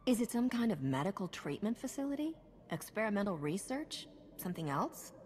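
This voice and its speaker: A woman's voice: medium pitch, sing-song, a little fast, darkish tone, a little hiss or hum, natural voice. A woman playing a self-possessed, inquisitive hero in a video game, with a direct, questioning manner. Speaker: player hero character. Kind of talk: video game cutscene acting. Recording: long hall reverb with music behind.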